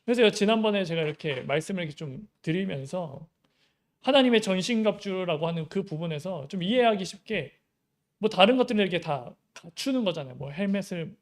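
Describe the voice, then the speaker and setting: A man's voice: sits high, animated, a little fast. A young adult male pastor giving a Sunday sermon in an easy, conversational way, with many rhetorical questions.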